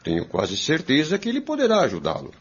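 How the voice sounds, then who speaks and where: A man's voice: medium pitch, wildly swooping pitch, fast talker, husky, exaggerated voice. A man playing a friendly, attentive innkeeper in a radio drama, speaking in a courteous, encouraging way. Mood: reassuring. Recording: dull lo-fi audio.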